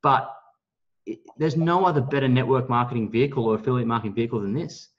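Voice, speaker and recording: A man giving a motivational business pitch, speaking in an emphatic, blunt, salesy way with some mild swearing. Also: fast. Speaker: network marketing leader, guest speaker on a team call. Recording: dull, lo-fi call audio.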